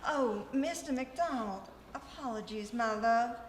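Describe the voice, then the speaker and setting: A sweet, naive young sweetheart played by a woman in a live radio melodrama, devoted and formally theatrical. Her high voice echoes in a noisy hall. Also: measured pace, close to an everyday voice. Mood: puzzled. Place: live stage in a ballroom.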